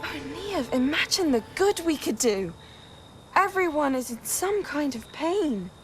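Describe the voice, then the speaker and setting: High female voice, fervent young witch, self-assured and defiant, fantasy game drama, music behind. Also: very animated, a little slow. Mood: impassioned, defiant. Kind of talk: dramatic video game acting. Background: background music.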